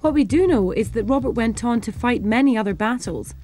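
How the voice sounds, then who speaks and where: A woman's voice: a little high, animated, brisk, warm tone, faint music behind. A Scottish woman presenting in the style of scripted educational television narration.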